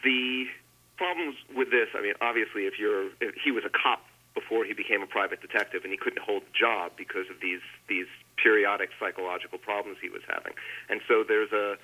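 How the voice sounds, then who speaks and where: A man's voice: lowish voice, a little fast, bright, forceful tone, low-quality recording. A man speaking as an author guest in an interview, explaining in a conversational way with hesitant restarts.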